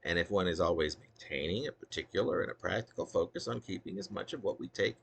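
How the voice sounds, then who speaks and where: A man's voice: sits low, quick pace, vocal fry, slightly rough. A man reading his own poetry as an essay-like monologue, in a ruminative, wordy and emphatic manner. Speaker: poet reading own work. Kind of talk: spoken poetry reading, essay-like monologue with occasional unscripted asides.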